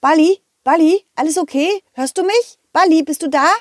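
A woman's voice: extremely high pitch, normal speed, dry recording, voice pushed up. A woman voicing a cheerful, loyal young male dog in a children's audio play, fussing eagerly over his friend. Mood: worried, caring.

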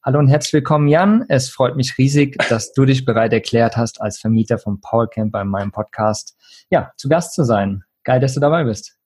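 Man, podcast host, upbeat, slangy, casual, medium pitch. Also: a little fast, a little mellow, breathy edge. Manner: upbeat, enthusiastic, slangy, laughs often.

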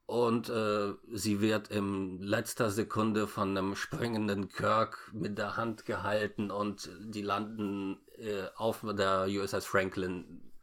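A man talking casually as he recaps a story in a halting, stammering way with many false starts. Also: lowish voice, little pitch movement, fairly bright tone. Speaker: podcast co-host recapping a film. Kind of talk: casual unscripted plot recap.

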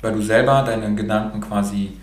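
A man's voice: lowish voice, steady tone, normal speed, reverberant. A man from Romania, raised bilingual in Germany, with a rolled R, talking frankly and casually as a podcast host, swearing now and then and using many fillers. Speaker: podcast host being interviewed. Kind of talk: casual motivational talk.

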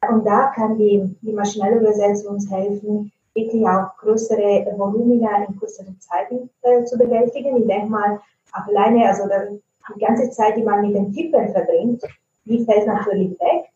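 A woman's voice: medium pitch, steady tone, normal speed, mellow. A woman from Italy, an Italian native speaker, answering interview questions as a translator and trainer, thoughtful with frequent hesitation fillers. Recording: roomy, distant, dull lo-fi sound.

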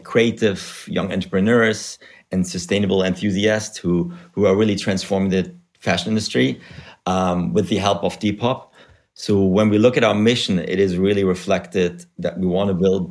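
A man in his thirties from Germany with a German accent, explaining things in a measured way as a podcast guest. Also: sits low, darkish tone. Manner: measured, explanatory, uses you know. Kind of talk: podcast interview.

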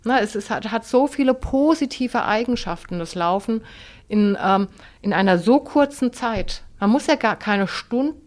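A woman's voice: on the high side, expressive, normal speed, darkish tone. A woman chatting casually about running, with many hesitations and restarts. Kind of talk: casual chat.

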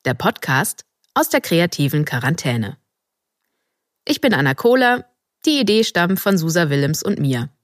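A woman's voice: sits low, no room sound, clean studio sound. A woman presenting a podcast in a chatty way, addressing listeners directly. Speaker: podcast host.

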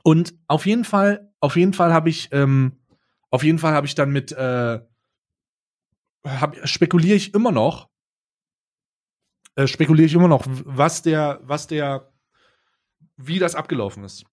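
A young man tells a wild story on a podcast, animated and slangy, tripping over his own restarts. His voice sits a little higher than most men's. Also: measured pace, pauses often. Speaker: podcast co-host. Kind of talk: casual storytelling.

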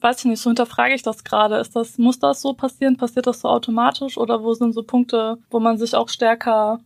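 A woman's voice: fairly high pitch, flat delivery, a little fast, vocal fry, dry recording, studio quality. A woman talking reflectively as a guest in a panel discussion, asking herself open questions in loose, run-on sentences.

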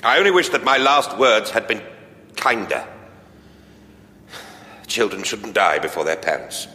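A pompous theatre director in an audio drama, his voice bright and forceful, swooping freely up and down. He is condescending and cutting, with a theatrical flourish. The sound carries a long hall reverb. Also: on the low side, animated, measured pace. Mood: defensive, bitter. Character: pompous, sarcastic theatre director, bereaved father, middle-aged or older. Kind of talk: full-cast audio drama acting.

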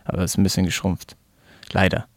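Laid-back young man, low flat voice. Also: quick pace, no room sound, studio quality. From Germany. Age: 20s. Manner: relaxed, rambling with fillers and restarts. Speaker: rapper guest. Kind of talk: casual interview chat.

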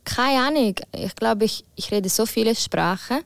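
A woman's voice: fairly high pitch, animated, moderate pace, gravelly, smooth, no echo. A woman from Ticino with an Italian accent, whose first language is Italian, chatting as a singer guest with breezy, upbeat replies. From Ticino, Switzerland. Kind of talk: casual interview chat.

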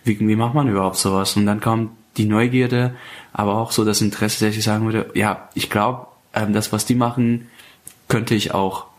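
A man in his twenties, originally from Afghanistan, a stand-up comedian chatting in an interview, pausing often with fillers and restarts. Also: fairly low pitch. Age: 20s. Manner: hesitant, many fillers and restarts. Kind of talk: casual interview chat.

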